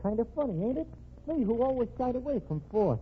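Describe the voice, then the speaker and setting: Casting: wry small-time pickpocket, high raspy voice, lively pitch, slangy and cheeky, tinny radio crime drama. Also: male voice, a little fast, heavily acted. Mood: cocky, wry. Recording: tinny band-limited old radio recording.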